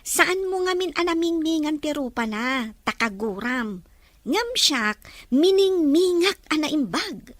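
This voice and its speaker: A woman voicing inquisitive young student friend, high pitch, dry close sound, thoughtful, audio drama. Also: lively intonation, measured pace, dry recording. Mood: pondering, gentle probing. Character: young female student, thoughtful, questioning confidante. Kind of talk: Filipino audio drama dialogue in Ilocano.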